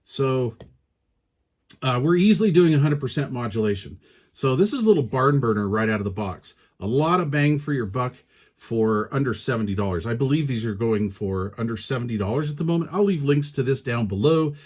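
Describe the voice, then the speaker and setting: A man's voice: medium pitch, animated, a little fast, a little mellow. A man talking informally and colloquially as he hosts a casual hands-on product review. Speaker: product reviewer, channel host.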